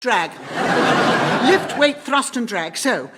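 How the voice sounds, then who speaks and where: A woman playing a brisk, bossy older woman in a radio sitcom, quick to exasperation. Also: fairly high pitch, very animated, very noisy. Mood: explaining, impatient.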